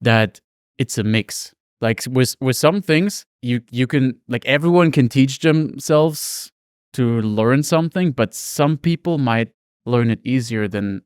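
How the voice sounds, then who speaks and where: Clear-voiced man hosting a casual podcast. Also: medium pitch, takes it slowly, dry recording, clean studio sound. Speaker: podcast host.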